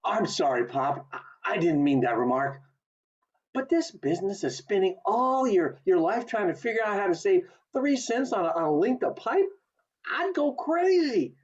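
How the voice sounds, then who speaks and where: A man playing a restless, eager young small-town man with big dreams in an amateur radio play, earnest and expressive. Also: high voice, moderate pace. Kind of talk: community theatre radio play acting. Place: remote video call. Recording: dull lo-fi video call audio.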